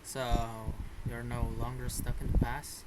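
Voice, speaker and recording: Young man, casual gameplay commentary, slangy, exclamatory, slow pace, very noisy recording. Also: mid-range voice, monotone. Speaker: gaming video host.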